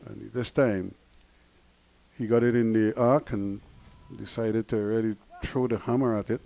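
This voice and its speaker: Mellow-voiced cricket commentator, Guyanese-tinged accent. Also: male voice, lowish voice, fairly even intonation, creaky, rough recording. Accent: Guyanese variation. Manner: measured, analytical, chuckles often.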